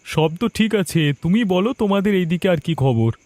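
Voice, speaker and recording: A man voicing an obliging younger brother in an animated village story, casual and conspiratorial. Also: sits high, fairly quick, no room sound. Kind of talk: animated moral story voice acting.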